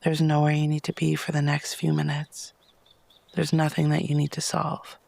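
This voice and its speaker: Soft man with heavily creaky, breathy voice. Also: fairly high pitch, monotone, no echo. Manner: soft, breathy, lapsing into near-whispers; unhurried, gentle, with long pauses. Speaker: guided meditation host. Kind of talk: guided meditation narration.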